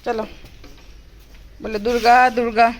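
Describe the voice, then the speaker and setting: A woman's voice: fairly high pitch, completely flat delivery, a little slow, a little mellow. A young adult woman, chatting casually and informally as she narrates a home vlog. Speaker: family vlogger, mother of a small child. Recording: noisy home recording with background ambience.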